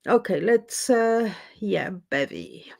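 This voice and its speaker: Slow-talking woman chatting. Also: medium pitch, expressive, creaky. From Switzerland. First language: French. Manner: rambling, chatty, often loses her thread. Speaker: live stream host. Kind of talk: casual live chat with viewers. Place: home, in Edinburgh.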